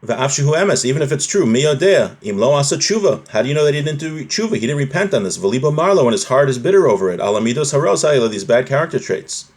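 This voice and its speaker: A man's voice: mid-range voice, very animated, fast talker, a little mellow. Man teaching a religious text class. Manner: didactic, explaining point by point with rephrasing. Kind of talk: live class, reading a text and translating and explaining it.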